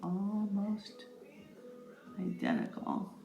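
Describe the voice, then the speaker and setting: An echoey room surrounds a middle-aged woman with a medium-pitched voice. She talks in a playful, rambling way, like a casual tutorial addressed straight to viewers. Faint music plays behind her. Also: wildly swooping pitch, extremely slow, warm tone, dull audio. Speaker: makeup vlogger. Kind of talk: casual chatty makeup tutorial.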